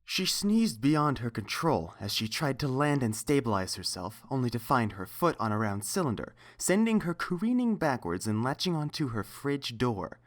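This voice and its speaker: A man's voice: mid-range voice, big pitch swings, normal speed, hardly any pauses. A man reading a scripted story as its narrator.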